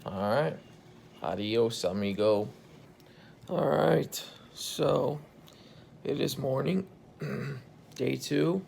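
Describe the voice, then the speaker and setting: A man in his thirties with a hoarse, croaky voice after intubation, speaking tiredly into a phone held at arm's length in a hospital room. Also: drawn-out words, long pauses, darkish tone, slight background noise. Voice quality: gravelly, croaky. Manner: tired, low-key, relieved. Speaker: patient recording a video diary. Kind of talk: casual video journal.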